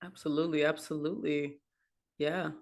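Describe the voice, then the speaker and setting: A woman's voice: low-pitched, fairly even intonation, normal speed, darkish tone. Upbeat woman hosting a panel. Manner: upbeat, starts sentences with "now". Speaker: host. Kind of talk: hosting a video call panel. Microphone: video call.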